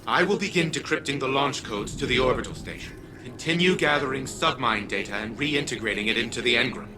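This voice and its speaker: An actor playing a haughty, calculating old scientist in a video game, speaking formally and imperiously over music. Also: male voice, medium pitch, a little fast, lightly acted. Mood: measured, self-assured. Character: haughty, calculating elderly male scientist patriarch. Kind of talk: video game cutscene acting. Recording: music behind the voice.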